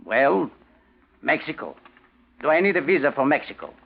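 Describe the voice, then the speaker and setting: An actor playing an impatient, desperate fugitive naval commander in a 1940s radio drama, tense, curt and insistent. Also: male voice, fairly high pitch, big pitch swings, moderate pace, vocal fry, breathy edge, full character voice. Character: desperate, impatient male fugitive German naval commander under false name. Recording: dull 1940s radio recording.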